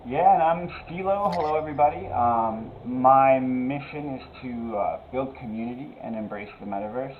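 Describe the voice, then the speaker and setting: A man's voice: mid-range voice, normal speed, few pauses. Guy co-hosting a music stream, casual, tinny phone-like sound w a bit of noise. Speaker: livestream co-host, music event organizer. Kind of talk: casual livestream introduction. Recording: muffled phone-like online audio, faint noise.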